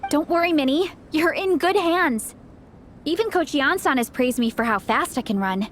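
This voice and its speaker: A woman voicing a sweet, supportive young athlete in a video game, gentle, sincere and encouraging. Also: high voice, expressive, normal speed, a little hiss or hum. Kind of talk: scripted video game voice acting.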